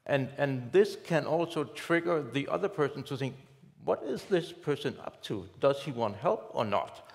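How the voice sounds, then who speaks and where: A man's voice: mid-range voice, animated, normal speed, creaky. Guy on a tech panel, lots of uh's, laughs a bit, echoey hall. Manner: hesitant, many fillers, small laughs. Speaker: panelist, app developer. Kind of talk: answering on a conference panel. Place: conference hall stage.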